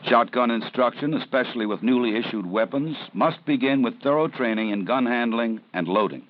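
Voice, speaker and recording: A man narrating a 1970s police training film in a measured, serious, instructive way. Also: medium pitch. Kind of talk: scripted documentary narration. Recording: dull 1970s film soundtrack with faint hiss.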